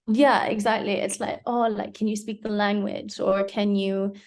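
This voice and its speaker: A young woman from Hong Kong talks through her thoughts slowly and reflectively, often pausing on fillers and restarting her sentences. Her voice carries a gravelly vocal fry. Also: medium pitch, level tone, a little mellow. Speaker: interviewee. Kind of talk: casual interview.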